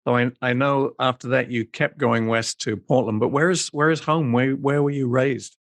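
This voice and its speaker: A man hosts a relaxed interview, asking friendly, chatty questions that often restart on a repeated word. His voice is mid-pitched and recorded close in a dry room. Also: normal speed, no room sound. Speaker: podcast host.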